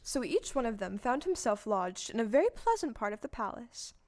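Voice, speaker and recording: High-voiced woman narrating a story. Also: very animated, fairly quick, a little mellow.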